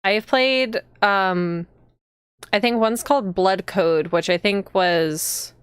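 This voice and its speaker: A woman's voice: medium pitch, takes it slowly, smooth, no echo, studio quality. A woman chatting casually to viewers as she hosts a live game stream. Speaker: streamer and host.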